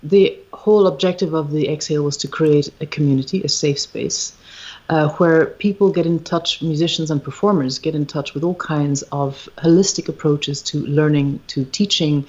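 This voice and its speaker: A woman with an Irish accent, a musician being interviewed on a podcast, speaking reflectively and searching for her words with frequent pauses and ums. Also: sits low, a little mellow. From Ireland. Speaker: guest, musician and retreat founder. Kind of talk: podcast interview, thoughtful conversation. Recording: slightly dull, lo-fi livestream audio.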